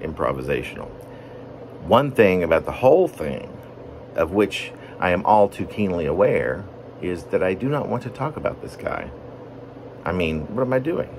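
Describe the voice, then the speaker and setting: A low-voiced man reads his own poem as a wordy, deliberate monologue. His pitch moves around widely from phrase to phrase, with pauses in mid-sentence. A faint steady room hum sits behind him. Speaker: poet reading own work. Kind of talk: poetry reading, rambling semi-narrative monologue.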